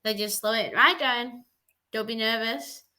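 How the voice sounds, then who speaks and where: A woman's voice: a little high, unhurried, sharp tone. A woman who is a native English speaker, tutoring on a video call, encouraging and chatty, with lots of "like" and "just". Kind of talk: giving feedback on a video call.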